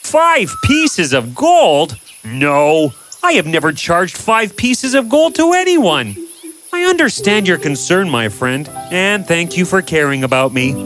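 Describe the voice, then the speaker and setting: A man's voice: on the high side, big pitch swings, slow, a bit bright, faint music behind, full character voice. A man voicing an honest, humble village painter in a storybook tale, speaking politely and earnestly.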